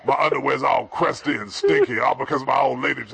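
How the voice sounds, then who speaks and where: A man on a comic prank call, rambling and complaining over and over, sometimes shouting at someone in the room. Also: mid-range voice, sing-song, fast, vocal fry. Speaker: prank caller. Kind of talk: comic phone rant.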